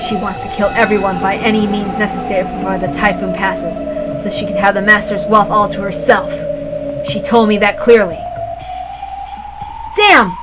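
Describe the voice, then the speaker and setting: A woman voicing a wounded young male servant who is forceful, repetitive and eerily insistent, in a story reading. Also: medium pitch, steady tone, normal speed, over loud music, natural voice. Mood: angry, insistent.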